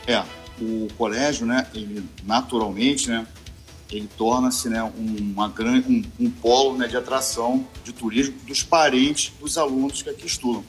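A man speaks as a guest on a talk show. He answers in a measured, formal way, often filling pauses with "né", at a mid-range pitch. Faint music plays behind him in the studio. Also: fairly even intonation. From Niterói, Brazil. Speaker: naval college commander, guest. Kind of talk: interview answers.

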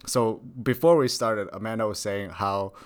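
A man's voice: on the low side, moderate pace. A young man co-hosting a podcast as a grad student, chatting casually with lots of fillers and repeated words. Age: young adult. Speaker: podcast co-host. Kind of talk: casual chat.

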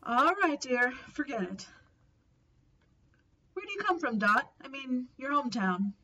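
Actress as a motherly, slangy operator, fairly high-voiced. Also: lively intonation, a little slow, bright, forceful tone, heavily acted. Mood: coaxing. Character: caring, coaxing telephone operator friend, motherly and slangy. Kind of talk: old-time radio drama acting.

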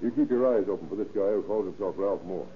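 An actor playing a shrewd, authoritative police inspector with clipped questions, in a tinny 1930s radio crime drama. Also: male voice, sits low, fast talker, lightly acted. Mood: shrewd, instructing. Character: brisk, shrewd male police inspector, firmly in charge. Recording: tinny, noisy old-time radio recording.

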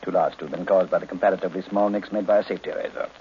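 A man's voice: low-pitched, steady tone, rapid-fire, lightly acted. A man playing a pompous, cranky older detective in a 1940s radio mystery, speaking formally with precise diction. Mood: confident, lecturing. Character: pompous, cranky older male master detective, supremely self-assured. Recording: muffled, noisy old radio recording.

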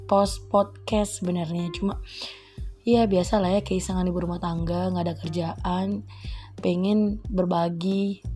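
A woman's voice: lowish voice, dark tone. A woman from Java, a housewife hosting her own casual podcast, rambling and giggling at herself. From East Java, Indonesia. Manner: giggly, rambling, self-deprecating. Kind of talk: casual solo podcast monologue.

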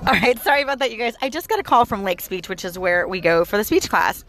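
A hoarse, tired-sounding woman in her thirties chatting casually to listeners while driving her car. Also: medium pitch, fast talker, fairly bright tone. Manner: chatty, bubbly, addresses listeners as "you guys". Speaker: audio blog host. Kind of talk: casual daily vlog chat. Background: car engine and road noise.

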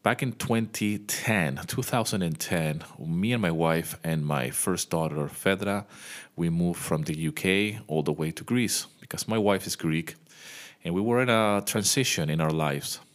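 A man's voice: sits low, measured pace. A man in his thirties who grew up in Venezuela, hosting a casual podcast monologue and talking straight to his listeners. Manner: chatty, speaks straight to the listener. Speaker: podcast host, music composer. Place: home.

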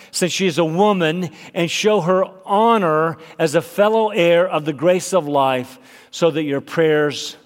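Nasal pastor preaching in a hall. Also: male voice, a little high. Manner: emphatic, rising for key points. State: slightly nasal from a cold. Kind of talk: sermon. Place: church sanctuary. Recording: long hall reverb.

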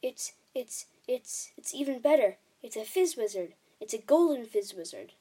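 A woman voicing an excitable, kindly male giant in a children's storybook, emphatic and exclamatory, with quaint muddled grammar. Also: medium pitch, normal speed, close to an everyday voice. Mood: urgent, thrilled.

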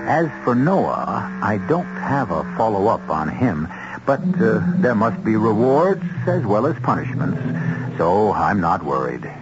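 Low-voiced, expressive radio mystery host. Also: male voice. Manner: measured, theatrical, wry. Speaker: host and narrator. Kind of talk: scripted radio mystery narration. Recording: muffled old radio recording with music.

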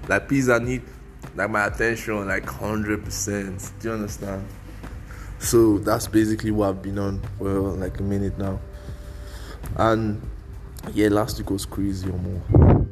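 Low-voiced young Nigerian man rambling. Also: little pitch movement, a little slow, long pauses, faint music behind. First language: Igbo. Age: young adult. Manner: loose and rambling, mixing slang and pidgin. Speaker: podcast host. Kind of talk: casual solo podcast monologue.

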